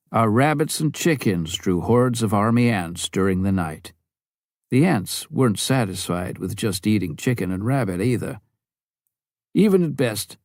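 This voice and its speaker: A man's voice: on the low side, a little mellow, no echo, clean studio sound. A man reading a memoir aloud as scripted audiobook narration.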